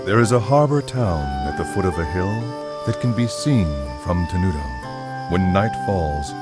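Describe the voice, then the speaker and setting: A very low-voiced storyteller in a video game cutscene, calm and wistful. His delivery is poetic and measured with theatrical, lively swings of pitch. Loud music plays behind him. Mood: wistful, admiring. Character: calm, wistful male storyteller describing a tranquil village. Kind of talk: scripted game cutscene narration.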